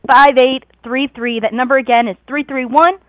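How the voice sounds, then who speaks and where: Hoarse, excited woman radio host. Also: high-pitched, normal speed, no room sound. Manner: gushing, excited, chatty. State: hoarse, losing her voice. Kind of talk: excited live radio hosting.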